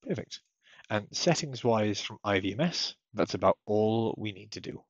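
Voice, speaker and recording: A man with a fairly low, husky voice walks through software setup as a tutorial presenter. He explains each step methodically. The voice-over sounds slightly muffled. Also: expressive, normal speed, no room sound. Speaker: technical support tutorial presenter.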